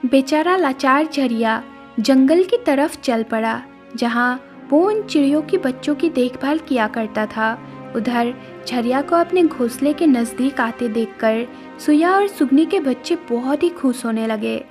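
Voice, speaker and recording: A woman's voice: sits high, expressive, a little slow, soft background music. A woman narrating a scripted moral story as the storyteller.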